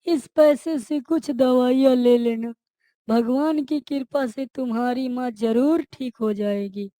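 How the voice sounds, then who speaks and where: A woman voicing a humble, kind-hearted young village son in a cartoon moral story, earnest and gentle. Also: high voice, takes it slowly, no echo. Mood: sympathetic.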